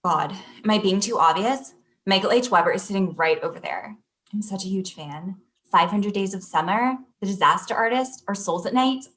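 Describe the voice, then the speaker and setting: A woman's voice: medium pitch, moderate pace, fairly bright tone, natural voice. A woman playing a sarcastic, dismissive bar patron in a comedy table read, curt in manner.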